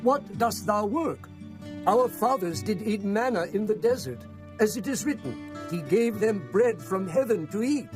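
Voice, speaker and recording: An excitable villager in a biblical audio drama. His voice is high and swings widely as he declaims insistently, with prominent music behind him. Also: unhurried. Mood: demanding. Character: excitable man from an ancient crowd, insistent. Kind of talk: dramatized scripture reading.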